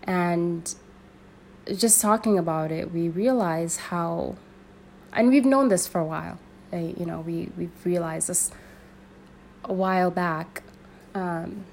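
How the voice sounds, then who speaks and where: A young woman from Sudan speaking in an earnest, searching way, pausing and restarting as she thinks aloud. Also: on the low side, creaky, a little mellow, a little hiss or hum. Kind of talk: personal reflective monologue.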